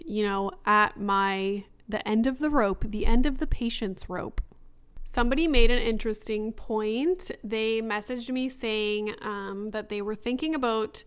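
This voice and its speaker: Young female podcast host, solo episode, casual and rambling, smooth but slightly raspy voice. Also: mid-range voice. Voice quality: raspy after long talking. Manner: chatty, frequent fillers like "you know" and "like". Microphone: laptop recording setup.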